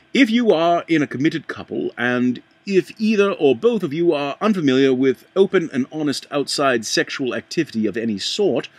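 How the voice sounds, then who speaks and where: A man reading a book aloud in a halting way, stumbling and rereading lines, with a put-on accent. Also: a little high, husky, dry recording. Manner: puts on an accent while reading. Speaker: amateur reader.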